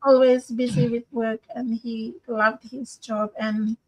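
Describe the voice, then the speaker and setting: High-voiced woman. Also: little pitch movement, slow pace, crowd noise, poor audio. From Papua New Guinea. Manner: warm and grateful, with frequent fillers. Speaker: guest, business owner. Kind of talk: interview answers. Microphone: video call.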